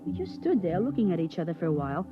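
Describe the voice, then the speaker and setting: High-pitched eager boy. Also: big pitch swings, racing, faint music behind. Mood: narrating. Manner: boyish slang, brash and curious. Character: eager, cheeky thirteen-year-old boy space traveller. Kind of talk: 1950s radio drama acting. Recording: muffled vintage 1950s radio recording.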